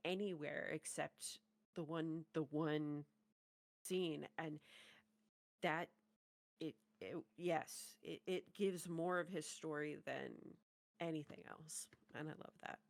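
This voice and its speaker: A woman's voice: deep voice, steady tone, slow, no room sound. A woman co-hosting a reaction video, chatting and commenting casually.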